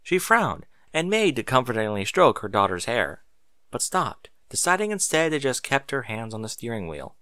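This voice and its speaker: A male narrator reads a story with lively, swinging intonation. His voice is bright and forward, recorded dry and close, and his storytelling is expressive. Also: mid-range voice, moderate pace, no room sound. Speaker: audiobook narrator.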